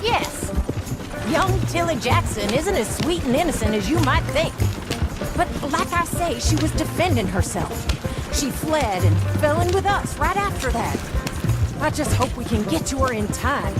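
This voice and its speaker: A fierce middle-aged outlaw woman in a western game. Her voice is high and swings widely as she gives brisk, folksy orders, protective and ruthless, over prominent music. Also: sing-song. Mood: grim, urgent. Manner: brisk, commanding, folksy old-fashioned phrasing. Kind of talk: dramatic video game acting.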